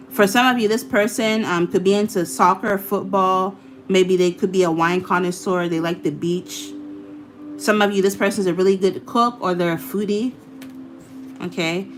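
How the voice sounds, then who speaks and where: Casual woman tarot reader, chatty and loose, mid pitch, slight background hiss. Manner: chatty, repetitive, casually profane. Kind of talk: casual tarot reading monologue.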